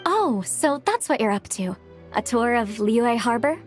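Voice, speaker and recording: A woman's voice: fairly high pitch, very animated, measured pace, faint music behind. A woman playing a friendly, helpful young local in a video game, with a casual, obliging manner.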